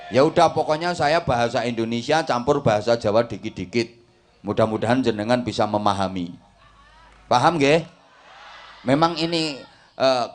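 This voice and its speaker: A middle-aged man with a Javanese accent, preaching a comic sermon to a village congregation and teasing the crowd. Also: mid-range voice. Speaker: Islamic preacher.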